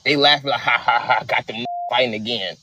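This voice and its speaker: A man's voice: medium pitch, steady tone, brisk, background noise. A man in a played social media clip, delivering an angry, confrontational rant.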